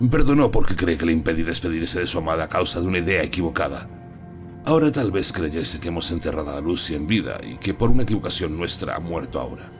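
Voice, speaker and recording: An actor playing a solemn, learned old professor who hunts vampires, explaining things deliberately in a gothic audiobook drama. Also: male voice, sits low. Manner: solemn, didactic, deliberate phrasing.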